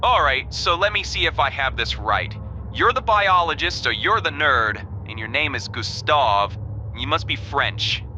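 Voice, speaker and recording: A young man playing a sarcastic, rebellious new recruit who breaks into shouting. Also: on the high side, animated, unhurried, a little hiss or hum, full character voice.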